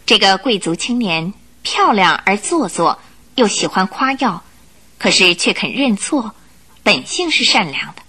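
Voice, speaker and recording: A woman narrating a radio drama in an expressive, scripted storytelling style. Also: medium pitch, unhurried, husky.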